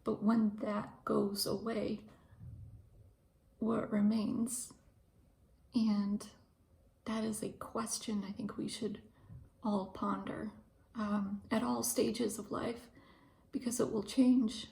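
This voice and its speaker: A woman in her thirties from Ukraine, a pharmacist, talking through her thoughts in a hesitant, reflective monologue with frequent pauses and fillers. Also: medium pitch, level tone, creaky, a little mellow. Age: 30s. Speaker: pharmacist and video host.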